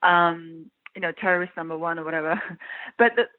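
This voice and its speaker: A woman's voice: fairly low pitch, steady tone, moderate pace. A woman from Iran with an English accent, an actress chatting casually in an interview, with many fillers and frequent laughs. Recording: tinny phone-like line.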